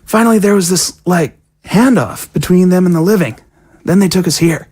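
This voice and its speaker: A woman playing a youthful zombie prisoner, frank and low-key, talking casually in an audio drama. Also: normal speed, a little mellow, breathy edge. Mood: confiding. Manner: casual, matter-of-fact.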